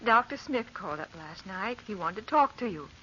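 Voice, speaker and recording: A fond, fussing wife played by a woman in a vintage radio drama. Her mid-pitched voice is bright and coaxing. The recording is dull, with faint noise. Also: expressive, normal speed, lightly acted. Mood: fond, concerned. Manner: affectionate, coaxing. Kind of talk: 1940s radio drama acting. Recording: muffled, faintly noisy old radio recording.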